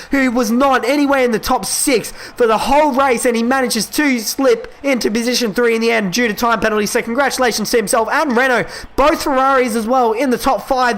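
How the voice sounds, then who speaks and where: High-voiced young man, voice cracking. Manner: excitable, quick calls that rise at overtakes. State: voice giving out, cracking. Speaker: esports race commentator. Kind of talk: live play-by-play race commentary.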